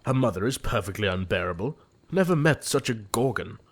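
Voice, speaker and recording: A man playing an earnest young gentleman suitor in a period comedy audio play, formal and easily exasperated. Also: lowish voice, animated, a little fast, breathy edge. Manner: formal, earnest, fussily indignant.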